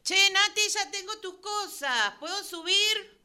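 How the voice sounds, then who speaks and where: A woman's voice: very high-pitched, animated, measured pace, bright, forceful tone, heavily acted. A woman with a Rioplatense accent playing a curious, suspicious neighbor in an amateur radio drama, muttering asides under her breath. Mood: friendly. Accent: Rioplatense Spanish. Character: curious neighbor woman Rosy, wary and nosy.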